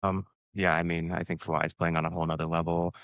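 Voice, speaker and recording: A man's voice: sits low, little pitch movement, quick pace, fairly bright tone, dry recording, dull audio. A man from Washington co-hosting a casual basketball podcast, laid-back and hesitant with lots of fillers. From Washington, United States. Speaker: podcast co-host. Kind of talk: casual sports podcast talk.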